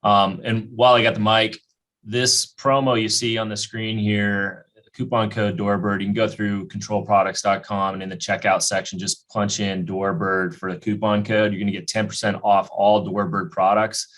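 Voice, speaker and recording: A man's voice: lowish voice, flat delivery, moderate pace, a bit bright. A man moderating a webinar, making casual announcements and promotional remarks.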